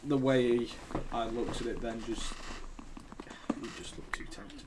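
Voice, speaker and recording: A man's voice: medium pitch, flat delivery, measured pace, background noise. A man losing his voice and coughing, giving casual, rambling gameplay commentary as a gaming channel host. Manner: rambling, hesitant, thinks aloud.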